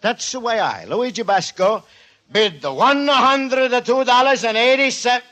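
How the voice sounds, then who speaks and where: An actor playing a humble, earnest Italian immigrant shopkeeper with an Italian accent and broken English, in 1940s radio style. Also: male voice, very high voice, animated, measured pace, sharp tone, full character voice. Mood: earnest, heartfelt. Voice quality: broken English with added vowels. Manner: earnest, guileless. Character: warm, earnest Italian immigrant antique dealer, humble and proud. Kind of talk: 1940s radio comedy-drama acting.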